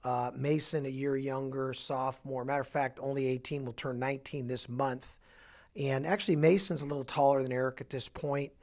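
A middle-aged man, a basketball coach, talking through his roster in an office interview with a handheld mic, halting with fillers and restarts. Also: mid-range voice, fairly even intonation. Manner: halting, many fillers and restarts, upbeat emphasis on each name. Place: office, indoors.

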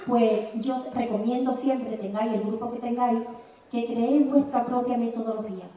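A woman in her sixties from Andalusia with an Andalusian Spanish accent, giving a lively, chatty talk on music teaching and sometimes singing short examples. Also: a little high. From Andalusia, Spain. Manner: lively, chatty, sings examples, asks the audience. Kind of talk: conference presentation. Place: large conservatory auditorium, indoors. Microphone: handheld mic. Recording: very echoey hall, mic far off.

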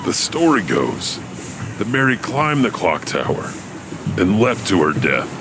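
An actor plays a mysterious male janitor telling ghost stories. His voice is deep and slow, swinging widely in pitch. He broods and pauses dramatically over prominent music. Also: very animated, noisy background. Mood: somber. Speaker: host and storyteller of a horror anthology. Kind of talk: scripted ghost-story narration. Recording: music under the voice.

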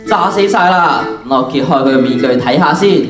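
A teenage boy with a Hong Kong Cantonese accent playing an excitable, greedy young time traveller in a theatrical school radio drama. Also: on the high side, a little fast, lightly acted. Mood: curious. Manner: exclamatory, theatrical. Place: large auditorium stage. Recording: echoey hall from far off, music behind.

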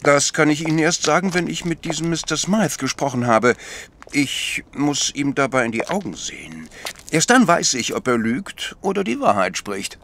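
An actor playing a mild, observant little priest in a German audio drama, speaking precisely and a bit pedantically. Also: male voice, a little high, sing-song, normal speed, fairly bright tone, slight background noise. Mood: thoughtful.

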